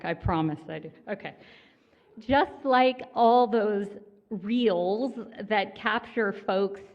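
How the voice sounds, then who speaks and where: A woman minister giving a sermon in a church, conversational and gently self-mocking in her humor. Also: mid-range voice, highs cut off. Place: church sanctuary.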